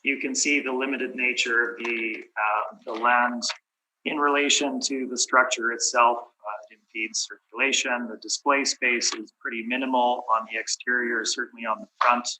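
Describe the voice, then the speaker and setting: A man with a hoarse, fading voice walks through figures at a municipal hearing. His tone is very bright and cutting, and he clears his throat often. Behind him is a faint hiss. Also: medium pitch, steady tone, normal speed, poor audio. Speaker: appellant's representative at a property tax hearing. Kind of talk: formal presentation with many fillers. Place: municipal committee room.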